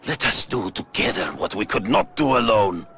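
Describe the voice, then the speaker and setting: A proud, aging master hunter in a video game. His gravelly voice swings widely in pitch, with a forceful edge. He speaks formally and gravely, as a man bound by honor. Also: expressive, normal speed, slight background noise. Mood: solemn, persuasive. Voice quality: deep, gravelly.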